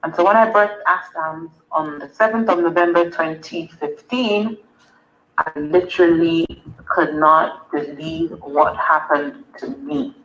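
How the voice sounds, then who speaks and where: A woman's voice: low voice, measured pace, a bit bright, lo-fi sound, a little hiss or hum. A Nigerian woman, a wellness coach, earnestly answering summit interview questions and repeating words for emphasis.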